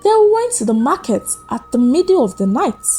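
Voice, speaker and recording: A woman narrating a scripted folktale as a storyteller, with suspenseful, deliberate phrasing. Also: high-pitched, big pitch swings, dark tone. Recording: music behind the voice. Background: background music.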